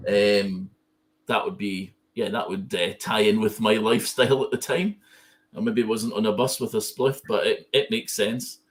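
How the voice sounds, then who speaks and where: Man in his thirties, low voice, hesitant, sentimental fan chat. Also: steady tone, normal speed. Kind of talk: casual fan discussion.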